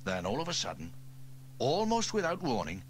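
A man narrating a children's audio story in an expressive, animated read-aloud manner. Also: medium pitch, moderate pace, slight background noise.

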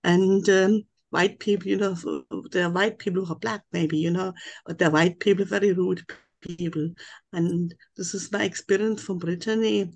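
A woman's voice: fairly low pitch, fairly even intonation, moderate pace, vocal fry, darkish tone. An older woman with a German accent, a native German speaker, telling a long personal account on a video call. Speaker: webinar participant.

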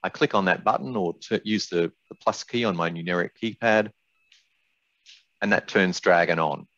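An older man presenting a webinar in a measured, explanatory way, as an expert walking through software. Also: low voice. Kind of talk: webinar presentation with product demonstration. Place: indoors, small room on a video call. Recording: dull, roomy webinar audio.

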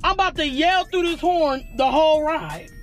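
A woman's voice: high-pitched, normal speed, faint music behind. A young woman chatting casually for a prank vlog inside a car, brash and full of slang and swearing. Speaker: family vlog host. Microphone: dashboard camera in front.

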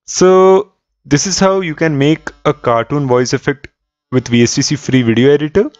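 A man's voice: fairly high pitch, moderate pace, darkish tone, dry recording. Man narrating a tutorial, dry dull sound. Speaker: tutorial host. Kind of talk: step-by-step software tutorial narration.

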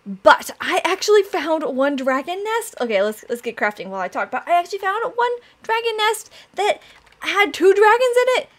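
A young woman hosting a Minecraft let's play, chatting excitedly to viewers while her voice is raspy from allergies and she keeps clearing her throat. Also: extremely high pitch, moderate pace. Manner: chatty, excitable, addresses "you guys" often. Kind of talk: casual gameplay commentary.

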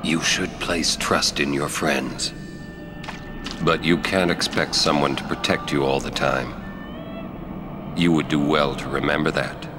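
A man playing a gruff, stoic middle-aged warrior mentor with a terse, deadpan manner in a video game. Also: rumbling low, a little slow, pauses often. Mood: dry, admonishing. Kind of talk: video game cutscene acting. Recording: music behind the voice.

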